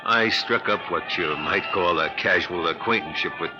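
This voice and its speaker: Radio casting: male actor as slow-witted, good-natured gumshoe sidekick, low voice, slangy bad grammar, music underneath. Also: lo-fi sound, close to an everyday voice. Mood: plain, defensive. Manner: slangy, ungrammatical tough-guy talk. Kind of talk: 1950s radio detective drama acting.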